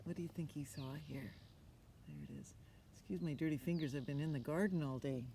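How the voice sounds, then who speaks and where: A woman's voice: low-pitched, a little fast, vocal fry. Older woman reading outdoors, noisy background. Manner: expressive, chatty, asks listeners questions. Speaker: storyteller reading aloud. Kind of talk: picture-book read-aloud with casual asides to children. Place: outdoors on a forest floor. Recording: outdoor ambience with noticeable background noise.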